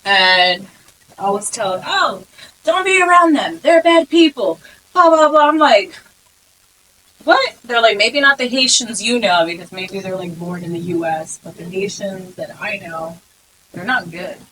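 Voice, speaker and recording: A young woman from the United States hosting a podcast, talking in a lively, emphatic, casual way. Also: mid-range voice, unhurried, a little hiss or hum, poor audio. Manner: lively, emphatic, swears freely. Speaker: podcast host.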